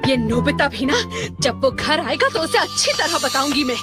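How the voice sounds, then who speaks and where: A woman playing a quick-tempered mother in a Hindi cartoon dub, exclamatory and expressive. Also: high voice. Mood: grumbling. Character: stern, quick-tempered cartoon mother, doting when calm. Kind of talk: Hindi-dubbed cartoon acting. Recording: loud music under the voice.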